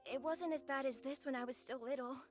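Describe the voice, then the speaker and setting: Woman playing a high-voiced, earnest young boy. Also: fast talker, music in the background, natural voice. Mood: worried, earnest. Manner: earnest, polite. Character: earnest young son, worried and devoted. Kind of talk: video game voice acting.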